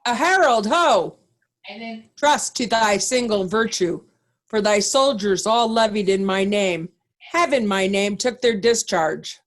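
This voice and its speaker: A stern and righteous duke, played by a woman, speaks Shakespearean verse at an unhurried pace. The delivery is formal and commanding, like someone used to leading an army. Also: mid-range voice, lightly acted. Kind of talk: Shakespearean reader's theatre.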